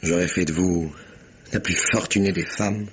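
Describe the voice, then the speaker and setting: Deep-voiced desperate rejected suitor. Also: male voice, fairly even intonation, normal speed, breathy edge, lo-fi sound. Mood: pleading. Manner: solemn, melodramatic. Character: desperate, melodramatic rejected suitor, ruined and insistent. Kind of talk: 1950s French radio drama acting. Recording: vintage radio recording, faint noise.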